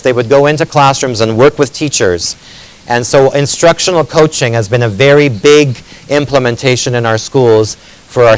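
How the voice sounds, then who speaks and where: A man's voice: medium pitch, low-quality recording. A middle-aged man raised in Canada, whose first language was Greek, giving an earnest, explanatory conference talk as a former teacher turned education consultant, with occasional restarts. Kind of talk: conference presentation. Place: conference hall.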